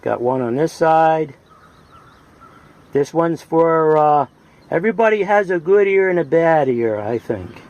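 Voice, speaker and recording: A man giving a casual, halting, folksy hands-on demonstration as a radio hobbyist, from the United States. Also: on the high side, lively intonation, darkish tone, muffled recording. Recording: noisy home video with radio sound.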